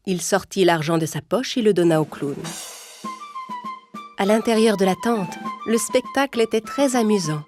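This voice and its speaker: A woman narrating a cartoon fairy tale in a calm, even storytelling voice. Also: medium pitch, normal speed. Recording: music playing underneath.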